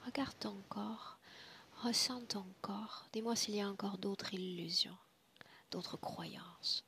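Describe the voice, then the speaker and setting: Slow, hushed woman with a creaky voice. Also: medium pitch, slight background noise. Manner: hushed, breathy, deliberate, commanding. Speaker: spiritual hypnosis session guide. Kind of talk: guided trance session, slow solemn address.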